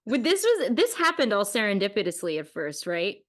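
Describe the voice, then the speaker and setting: A woman's voice: mid-range voice, huge pitch swings, a little fast. A woman who writes and acts, telling stories in a casual chat and acting out quotes as she goes. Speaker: playwright and actor.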